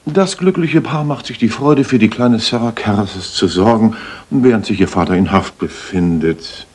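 An actor playing a shrewd, self-assured Victorian master detective in a radio play, speaking in a probing, precise way. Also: male voice, on the low side, moderate pace, darkish tone, slightly rough. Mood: pleased.

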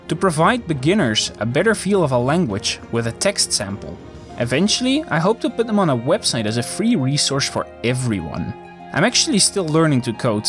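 A male host whose native language is Dutch reads a scripted voice-over with eager energy. His pitch swings widely, and each new phrase starts on a bright lift. Soft music plays quietly behind him. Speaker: YouTube channel host.

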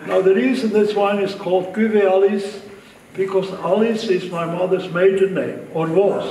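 A man's voice: high-pitched, steady tone, warm tone. Older Swiss-accented man in an echoey barn. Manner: dry joking, halting phrases. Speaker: winery owner giving a dinner toast. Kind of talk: informal after-dinner speech. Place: large wooden barn at a dinner. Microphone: handheld mic. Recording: echoey barn, distant mic, crowd noise.

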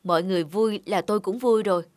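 A man's voice: sits high, fast talker, no echo, natural voice. A man playing a cheerful, generous young neighbour in a cartoon, upbeat and caring. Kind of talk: cartoon dialogue.

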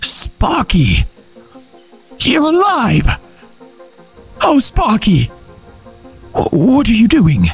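A playful man talks through his round, swinging from casual chat into mock-dramatic narration. His voice is lively and slow, and music plays underneath him. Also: extremely high pitch, slightly rough. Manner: playful and jokey. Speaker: disc golf vlogger.

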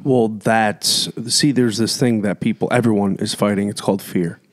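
Man with a low, calm interviewing voice. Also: moderate pace. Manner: low, relaxed, probing questions. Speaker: podcast host. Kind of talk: casual interview chat.

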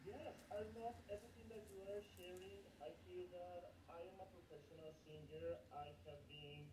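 A woman's voice: on the low side, steady tone, moderate pace. A woman calling in to a live video call who asks a question politely and a little hesitantly. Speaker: caller. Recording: echoey, distant video call audio with noise.

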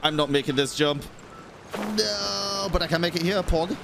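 A man's voice: fairly high pitch, moderate pace, noisy background. A man in his thirties giving playful, slangy live gameplay commentary and chatting to his viewers. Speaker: video game streamer. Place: indoor room at a streaming setup. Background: game music and sound effects.

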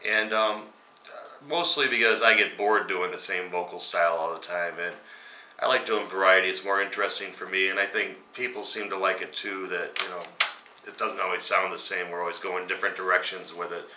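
A man's voice: low-pitched, steady tone, fairly quick, bright, forceful tone, a little hiss or hum. A man in his forties, a metal band singer, answering interview questions in a casual, rambling way with lots of ums, sitting at a table at home. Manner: casual, rambling, many ums, chuckles at grim stories. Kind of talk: relaxed conversational interview answers. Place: dining room at home, indoors. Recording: echoey room, voice a bit distant.

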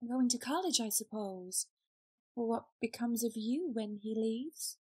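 An actress playing a gentle, modest young governess in an audio drama, earnest and soft. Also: female voice, on the high side, unhurried, breathy edge, dry recording.

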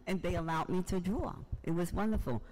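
An older man shares personal anecdotes at a public meeting. His voice sits a little high and rings in the long reverb of a large hall. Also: fairly quick, a little mellow. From Harlem, New York, United States. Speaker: audience member, writer and poet. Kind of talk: unscripted personal storytelling. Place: large museum event hall, indoors.

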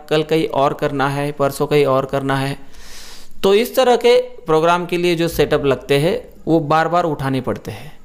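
Clear, higher-pitched man explaining. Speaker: presenter of an audio equipment channel. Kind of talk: explanatory talk to camera. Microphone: lapel mic.

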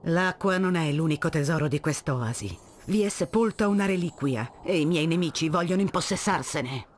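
A woman's voice: low voice, animated, normal speed, slight background noise. Proud commanding warrior woman. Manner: commanding, solemn. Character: proud, resolute Egyptian warrior woman leader.

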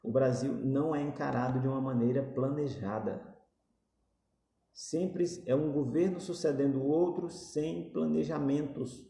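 A man's voice: mid-range voice, steady tone, unhurried, creaky, warm tone, echoey room. A middle-aged man with a Brazilian accent, speaking earnestly and repetitively to a phone camera in a small indoor room. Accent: Brazilian Portuguese. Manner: earnest, repetitive, gestures while talking. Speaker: political commentator. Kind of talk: direct-to-camera opinion talk. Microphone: phone camera at arm's length.

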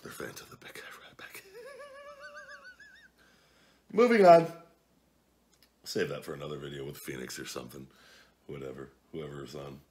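A man in his forties chatting casually at home as a vlog host, reading viewer comments into a desk camera. He is blunt and profane, jumping from low mutters to loud outbursts. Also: sing-song, brisk. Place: home living room at night, seated at a table.

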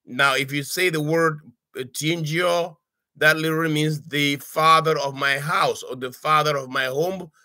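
An older man from Cameroon teaching a casual online language lesson, his voice bright and forceful. He speaks haltingly, stacking up fillers and restarts and asking "okay?" after each point. The webcam sound from his home study is dry and close. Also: fairly high pitch, steady tone, no room sound. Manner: halting, many "uh"s and restarts, frequent "okay?" check-ins. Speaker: language tutor. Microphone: webcam on a video call.

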